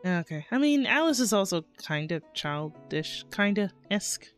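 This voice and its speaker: A woman's voice: medium pitch, big pitch swings, moderate pace, soft background music. A woman streamer reacting to a game story with giggly, gushing comments.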